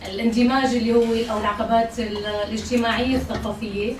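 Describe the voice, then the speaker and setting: A Syrian-accented woman, native in Arabic, speaks to a group in a fairly high voice at a very rapid pace. She is recorded from a distance in an echoey room with noise behind her. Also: little pitch movement. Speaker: event co-organiser and speaker. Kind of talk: spontaneous talk to a group. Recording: echoey, distant mic, clear background noise.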